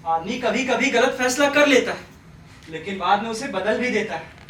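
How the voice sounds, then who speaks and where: A forgiving family man acted by a man pleads politely and moralises gently. His delivery is measured and insistent. He is heard from a distance in an echoing theatre. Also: very high-pitched, expressive. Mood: earnest, reproving. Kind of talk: Hindi stage play acting. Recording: echoey, distant stage recording with noise.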